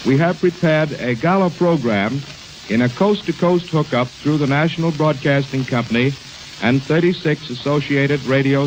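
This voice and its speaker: American announcer on crackly 1920s radio. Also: male voice, mid-range voice. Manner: formal, measured, declamatory.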